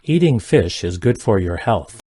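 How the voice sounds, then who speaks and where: A man reading simple English practice sentences aloud, each one twice, in a careful, even delivery. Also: fairly low pitch, measured pace, slightly rough, dry recording, studio quality. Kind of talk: slow repeated sentence reading for learners.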